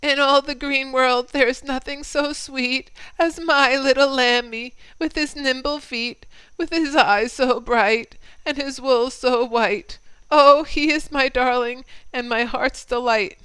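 A woman voicing a fond mother sheep, bleating out her love a little higher and louder. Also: steady tone, takes it slowly, clean tone, no room sound, low-quality recording.

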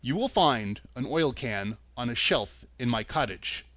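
A man reading a storybook aloud, voicing a sad, polite man made of tin who speaks slowly and mournfully. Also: lively intonation, fairly bright tone, dry recording. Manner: slow, low and mournful.

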